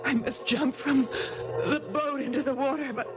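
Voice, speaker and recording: An actress playing a distraught young tennis star in a 1970s radio drama, dramatic and wounded. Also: female voice, sits high, fairly even intonation, moderate pace, over music, lightly acted. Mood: feverish, delirious. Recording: dull 1970s radio broadcast recording.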